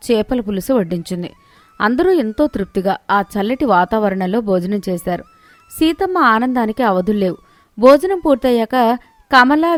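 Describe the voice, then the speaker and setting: A woman narrating a scripted moral story in an expressive, measured way. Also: on the high side, dry recording.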